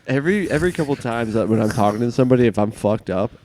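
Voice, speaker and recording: A man's voice: medium pitch, lively intonation, rapid-fire, darkish tone, slight background noise. A man in his late twenties co-hosting a podcast, chatting loosely with lots of swearing and joking. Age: late 20s. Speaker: podcast co-host. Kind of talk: casual chat.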